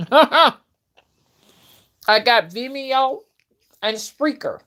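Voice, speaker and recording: A woman's voice: high voice, lively intonation, drawn-out words, sharp tone. Woman in her fifties, chatting casually. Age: early fifties. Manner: conversational, addresses viewers directly, often checks in with "okay" and "you know". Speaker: livestream host and content creator. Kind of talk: casual livestream chat with viewers.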